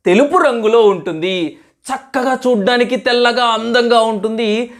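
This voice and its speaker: A woman's voice: a little high, moderate pace. A woman telling a moral story as host, teacherly, asking questions and repeating answers as if to a young child.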